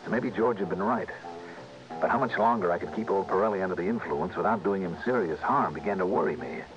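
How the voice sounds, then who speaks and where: A man plays a wary, hard-boiled investigator in a vintage radio drama. His low voice is terse and commanding. The old broadcast sounds muffled, with music underneath. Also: quick pace, close to an everyday voice. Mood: tense narration. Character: tough, terse, wary male private insurance investigator. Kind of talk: 1960s radio drama acting and first-person narration.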